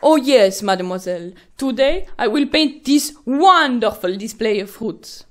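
High, swooping monkey painter. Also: female voice, expressive, a little slow. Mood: musing, courteous. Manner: exaggerated, theatrical, talking fondly to a pet. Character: fussy, theatrical French monkey painter puppet, fond of cat. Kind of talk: children's puppet show acting.

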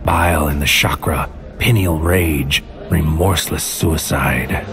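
A brooding infiltrator narrating a surreal noir audio drama. His voice sits very low, and he speaks in deliberate, clipped, poetic phrases. Music runs clearly underneath him. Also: heavily acted. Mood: brooding, intense.

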